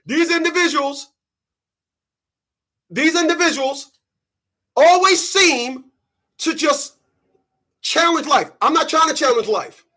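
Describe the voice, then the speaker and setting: A man in his thirties, sweaty after a run, giving an animated, preachy monologue to his channel's viewers. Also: very high voice, slow pace, long pauses. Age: 30s. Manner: animated, preachy, mocking quoted voices. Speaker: channel host. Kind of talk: casual monologue to camera.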